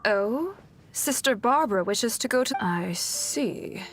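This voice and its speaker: Game role: polite adult nun, somewhat high pitch, courteous, measured and caring. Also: female voice, animated. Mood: gentle, concerned. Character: polite, gentle, concerned adult church nun. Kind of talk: video game voice acting. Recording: game music behind the voice. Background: game music.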